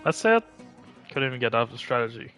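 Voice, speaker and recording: A man's voice: medium pitch, sing-song, fast, pushed and bright, clean tone, faint music behind. A man giving casual live commentary while he plays a video game on stream. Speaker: game livestreamer.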